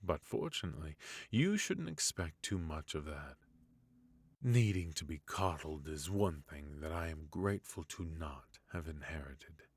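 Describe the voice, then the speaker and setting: Haughty, indulgent royal tutor for fantasy ASMR , played by a man: male, deep, unhurried, formal and quietly bitter. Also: animated, no room sound, full character voice. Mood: composed, indulgent. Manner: formal, measured, wry, with musing hums and soft chuckles. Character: forgotten adult male royal mentor, resentful, haughty yet indulgent. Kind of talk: intimate ASMR fantasy roleplay.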